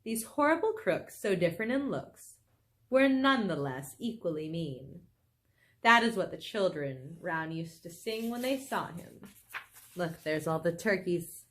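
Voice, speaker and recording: A woman reading a children's book aloud expressively, with animated, dramatic phrasing and casual asides. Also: lowish voice, measured pace. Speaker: storybook reader.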